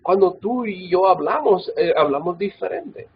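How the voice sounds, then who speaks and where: A man's voice: high-pitched, animated, a little slow, dull audio, a little hiss or hum. A man from Mexico with a Guadalajara Mexican Spanish accent, giving a recorded Bible institute lecture in an earnest manner, with many filler "ah"s and tag questions.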